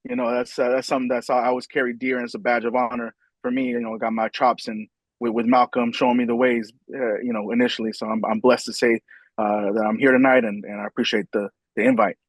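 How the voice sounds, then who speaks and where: A man who works as a reporter, introducing himself on a radio show in a relaxed, humble way. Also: medium pitch, fast talker, dry recording, poor audio.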